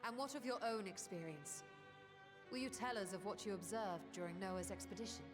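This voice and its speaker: A woman's voice: medium pitch, natural voice. Woman doing composed bookish mage lady from a video game, very articulate, big echo, music under it. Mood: grave, explanatory. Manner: formal, articulate, measured. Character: scholarly sorceress, composed, eloquent, keen for discovery. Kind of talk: scripted video game voice acting. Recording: long reverb, music behind.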